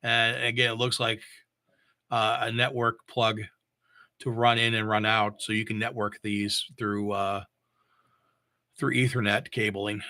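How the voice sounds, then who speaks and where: A man giving casual, unscripted product talk as a gear reviewer, halting and repeating himself as he thinks aloud. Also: lowish voice, flat delivery, bright, forceful tone. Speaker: mobile DJ reviewing audio gear.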